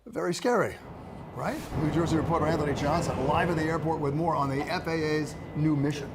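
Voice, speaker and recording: A man's voice: a little high, brisk, heavy background noise. An American man anchoring the news, reading scripted copy in a broadcast style.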